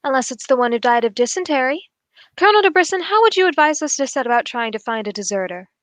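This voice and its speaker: A high-pitched, shrewd gentleman detective of old radio drama, played by a woman. The English sleuth is unflappable, formal and quietly amused, choosing words with measured courtesy. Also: sing-song, dry recording. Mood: polite, keen. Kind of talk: 1940s radio drama acting.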